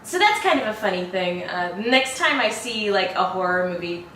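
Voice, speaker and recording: A woman's voice: mid-range voice, expressive, normal speed, bright, forceful tone. A woman hosting a trailer reaction show, talking about films in an informal, chatty way. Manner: informal and chatty, laughs often. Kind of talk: casual film review chat. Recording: very roomy, distant mic, faint noise.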